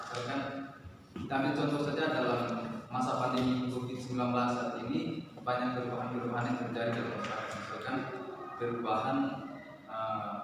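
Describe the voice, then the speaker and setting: A male student explains an answer to the class in a flat, level voice, pausing now and then to think. He is heard from a distance in a strongly echoing room. There is clear noise in the background. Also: mid-range voice. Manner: even, explanatory, with hesitations. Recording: echoey room, voice from a distance, noisy.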